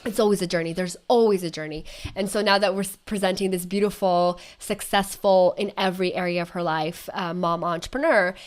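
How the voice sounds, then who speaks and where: A woman's voice: fairly low pitch, fairly even intonation, fairly quick, hardly any pauses. A woman hosting an interview show, talking in a gushing, effusive, rambling way. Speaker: podcast host. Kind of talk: casual interview chat.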